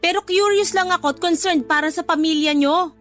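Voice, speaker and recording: An actress playing an exasperated, scolding but concerned older sister in a radio drama. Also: female voice, extremely high pitch, a little fast, a bit bright, soft background music. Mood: exasperated, pleading. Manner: scolding, emphatic. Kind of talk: Filipino radio drama dialogue.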